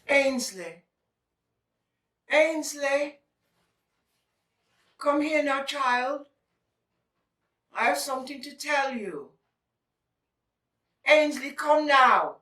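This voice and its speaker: A woman's voice: sits high, drawn-out words, pauses often, sharp tone, full character voice. A woman playing a loving but firm elderly Caribbean grandmother in a drama, solemn and tender. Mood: calling, firm. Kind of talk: stage-style audio drama. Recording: echoey room, distant microphone.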